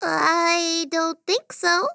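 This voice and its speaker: Guy doing a tiny girl apprentice voice, super high, meek n sleepy, obedient, audiobook fantasy. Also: flat delivery, extremely slow, gravelly, pushed and bright, dry recording, studio quality, full character voice. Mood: hesitant, tired. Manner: meek, deferential. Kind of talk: audiobook character voice.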